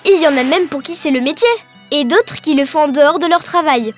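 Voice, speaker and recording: A woman's voice: high voice, fairly quick, lightly acted. A woman voicing a cheerful young girl who explains and encourages in an educational cartoon. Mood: explanatory. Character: cheerful young Breton girl teaching her alien friend. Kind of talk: scripted educational cartoon dialogue. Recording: music behind the voice.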